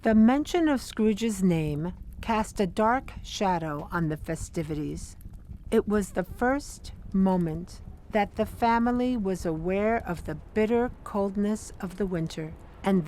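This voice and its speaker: A woman narrating an audio drama as a storyteller, with measured, deliberate delivery. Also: fairly low pitch, warm tone, a little hiss or hum, lightly acted, low-quality recording.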